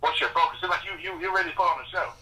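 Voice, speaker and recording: A man's voice: sits high, steady tone, racing, sharp tone, far from the mic. A man working as a sports reporter, asking casual, slangy, stumbling questions over a thin telephone line.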